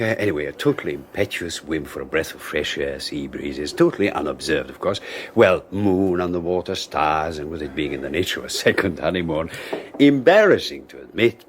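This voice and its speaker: An actor playing a suave, scheming husband in a 1980s radio drama, smooth, smug and mocking. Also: male voice, a bass voice, animated, moderate pace, slight background noise. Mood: ingratiating. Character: suave scheming male husband, middle-aged.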